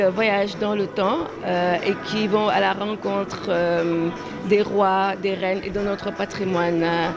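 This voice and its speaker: A woman speaking in an interview, haltingly and often repeating words. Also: medium pitch, rough recording. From Senegal. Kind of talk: spoken interview. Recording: music playing behind the voice.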